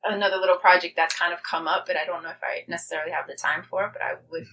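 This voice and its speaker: A woman's voice: lowish voice, steady tone, racing, sharp tone, husky, low-quality recording. A woman chatting casually and enthusiastically as a guest playwright in an interview. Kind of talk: casual chat.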